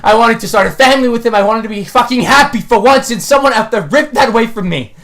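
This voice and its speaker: A man's voice: very high-pitched, fast talker, fairly bright tone. A man playing a grieving, desperate young murder suspect in an audio drama, stammering and swearing as he pleads his innocence. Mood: anguished pleading.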